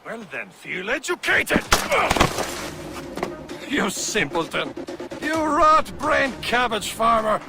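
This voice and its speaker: A pompous French chevalier and ship's captain, pitched high for a male voice. He is haughty and scornful in the style of a game cutscene, and music is clearly heard behind him. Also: very animated, takes it slowly, long pauses, full character voice. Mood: indignant, scornful. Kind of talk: video game cutscene acting.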